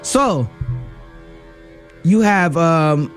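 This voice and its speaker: A man's voice: on the high side, expressive, extremely slow, faint music behind. A man co-hosting a radio show, giving heated political commentary with many fillers and restarts.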